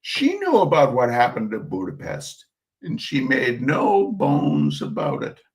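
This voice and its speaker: A man's voice: medium pitch, wildly swooping pitch, a little slow, low-quality recording. A man hosting a reading of humorous essays, theatrical and emphatic, with mock indignation and chatty asides.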